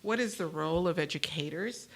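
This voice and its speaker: A woman hosting a panel discussion, her voice low and gravelly. She talks haltingly, with many fillers and restarts. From New Mexico, USA. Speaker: talk show host.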